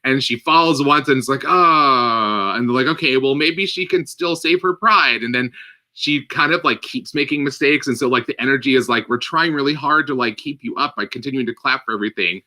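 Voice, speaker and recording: A man co-hosting a casual movie podcast, telling stories in an animated way with lots of filler words. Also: medium pitch, fairly quick. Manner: animated storytelling with many "like" fillers. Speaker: podcast co-host. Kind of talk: casual chat.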